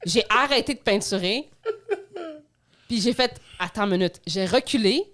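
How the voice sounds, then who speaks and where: A young woman with a Quebec French accent, co-hosting a podcast and teasing a friend in lively, laughing stories; her first language is Spanish. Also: medium pitch, moderate pace. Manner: teasing, animated storytelling with bursts of laughter. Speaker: podcast co-host. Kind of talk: casual chat.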